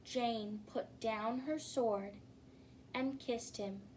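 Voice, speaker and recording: A woman storyteller giving an expressive children's read-aloud, addressing young listeners and sometimes putting questions to them. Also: on the high side, very slow. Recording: faint background noise.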